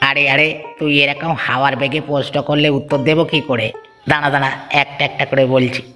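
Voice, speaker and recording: A man voicing a worried, pleading village uncle, earnest and animated, in a moral-story cartoon. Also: mid-range voice, fast talker, close to an everyday voice. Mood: friendly. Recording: long hall reverb, music underneath.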